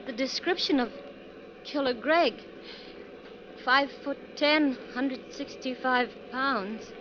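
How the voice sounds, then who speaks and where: A woman's voice: high-pitched, slow pace. A woman playing a nervous, troubled wife on a bus trip in a 1940s radio drama, speaking hesitantly and earnestly. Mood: anxious suspicion. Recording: dull, noisy 1940s radio recording.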